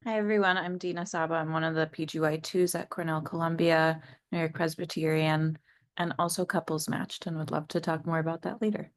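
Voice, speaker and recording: A woman's voice: deep voice, fairly even intonation, a little fast. A young woman resident speaking earnestly on a webinar panel.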